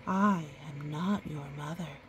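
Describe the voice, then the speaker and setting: A woman voicing a big, gentle, motherly female polar bear in a storybook, reassuring and unhurried. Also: low-pitched, a little hiss or hum. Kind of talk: storybook character voice.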